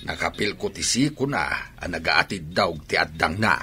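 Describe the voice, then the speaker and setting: A man's voice: low-pitched, expressive, moderate pace, bright, forceful tone, full character voice. A man with an Ilocano accent playing an older, grumbling father who mutters carping comments in a radio drama. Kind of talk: Filipino radio drama acting.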